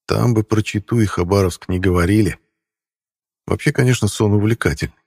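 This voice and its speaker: A man's voice: lowish voice, brisk, creaky, darkish tone, slightly rough, no echo, studio quality. A man narrating an audiobook in the first person with a wry, ironic storytelling manner.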